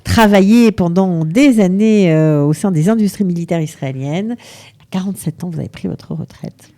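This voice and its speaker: Woman show host, lowish mellow voice; leads with questions and quick recaps. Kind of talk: hosting an interview.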